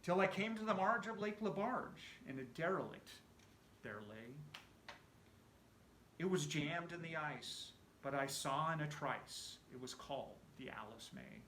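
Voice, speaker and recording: A man giving an animated, theatrical recitation of a narrative poem, often dropping to a hush as phrases end. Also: a little high, unhurried, roomy sound, a little hiss or hum. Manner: animated, theatrical storytelling with hushed phrase endings. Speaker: amateur poetry reciter.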